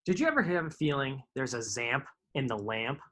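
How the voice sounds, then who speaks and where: Guy doing a live storytime for kids, reading rhyming books, informal, mid-pitched voice. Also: lively intonation, a little fast, pushed and bright. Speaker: karate instructor reading children's books aloud. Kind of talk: live storytime read-aloud to children.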